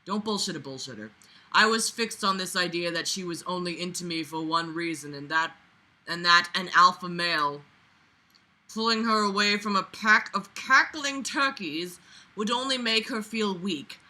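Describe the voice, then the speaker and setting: A woman's voice: fairly low pitch, moderate pace, sharp tone. A young woman reading a book aloud and breaking in with mocking, incredulous, sweary commentary in a theatrical, exasperated manner. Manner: mocking, sarcastic. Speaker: host of a comedic book read-along.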